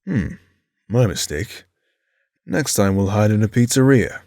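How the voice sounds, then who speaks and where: Man acting low-voiced, sardonic teenage boy. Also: lively intonation, a little slow, warm tone, no room sound. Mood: dry, skeptical. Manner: dry, sardonic. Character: dry, skeptical teenage boy, protective companion. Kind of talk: audio drama acting.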